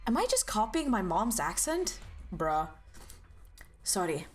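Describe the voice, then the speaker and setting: A young woman from Norway streaming a game, chatting casually and greeting chat with high, bubbly hellos. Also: sing-song, takes it slowly, faint music behind.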